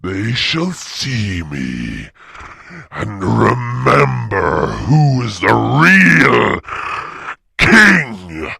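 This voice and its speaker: A man voicing a huge, wrathful, boastful storybook dragon, growling in a raspy, drawn-out, menacing way. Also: medium pitch, huge pitch swings, heavily acted. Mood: roaring, vengeful. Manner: slow, drawn-out, forceful proclaiming.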